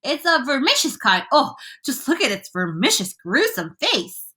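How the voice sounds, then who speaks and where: A woman voicing an alarmed, blustering police chief in a children's storybook, shouting commands. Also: sits high, huge pitch swings, moderate pace, pitched above the performer's own voice, heavily acted. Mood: horrified.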